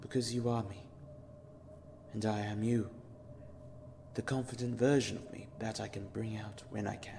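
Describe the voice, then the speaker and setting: An actor playing a despairing, world-weary male space traveller in an audio drama, speaking in a subdued, brooding, halting way. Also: on the low side, little pitch movement, gravelly, background noise. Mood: reflective.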